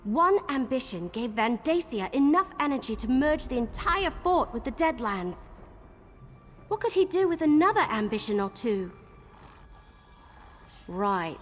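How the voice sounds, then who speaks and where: An actress playing an earnest, worried adventurer companion in a video game, speaking expressively. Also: female voice, sits high, big pitch swings, a little slow, long pauses, slight background noise. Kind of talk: video game character acting. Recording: reverberant hall, game music behind.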